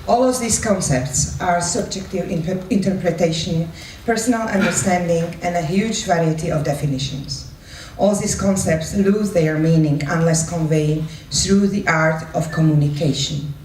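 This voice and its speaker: A woman's voice: on the low side, normal speed, a little mellow. A woman presenting live as a moderator, explaining in a didactic way and checking in with short questions. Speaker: presenter and moderator of a participatory one-act play. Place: echoing room at a live event. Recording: roomy, distant mic with faint noise.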